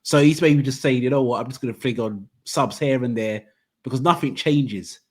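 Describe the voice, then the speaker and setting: A man's voice: mid-range voice, moderate pace, darkish tone. A man from hosting a casual football debate, rambling and restarting his sentences as he argues. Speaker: football podcast host.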